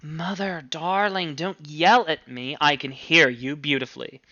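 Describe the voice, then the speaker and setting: A man's voice: fairly high pitch, steady tone, unhurried, bright, forceful tone, no echo, muffled recording. A man voicing a cool young married daughter in an audiobook story, languid and clipped, patient but irritated. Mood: cool, placating. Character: cool, exasperated young married daughter, placating her mother.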